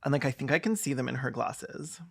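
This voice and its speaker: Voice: mid-range voice, lively intonation, a little fast, gravelly, faint music behind. A host giving comedic reaction commentary on a film in a campy, sarcastic way.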